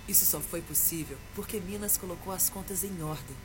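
A woman announcer reading a government radio ad in a calm, clear way. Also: fairly low pitch, a little fast, over music.

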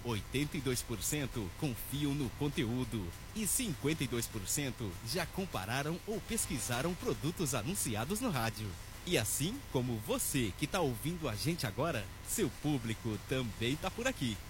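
Brazilian male promo voice, persuasive and animated, background noise present. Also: medium pitch, moderate pace. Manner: persuasive, lively sales read. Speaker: announcer. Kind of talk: radio promo ad.

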